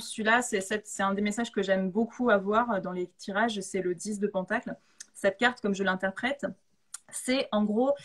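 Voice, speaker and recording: A woman in a casual live chat, explaining tarot readings in an informal, enthusiastic way. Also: medium pitch. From of Italian descent. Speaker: guest tarot reader and author.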